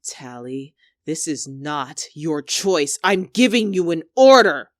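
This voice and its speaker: A woman's voice: low voice, sing-song, slow, no room sound, clean studio sound, full character voice. A woman playing a domineering, scornful young female squad leader in a dramatic argument, biting, sarcastic and commanding. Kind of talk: dramatic two-character argument performance.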